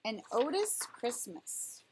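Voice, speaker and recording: Higher-voiced woman reading a children's story. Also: slow, slight background noise, low-quality recording. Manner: expressive, emphatic storytelling for young children. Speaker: storybook reader. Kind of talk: read-aloud children's story with dramatic emphasis.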